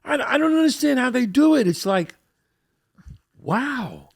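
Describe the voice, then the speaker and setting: A man's voice: very high voice, big pitch swings, measured pace, creaky. An older man hosting a casual talk show, blunt and emphatic, swearing freely. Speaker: podcast host. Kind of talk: casual chat.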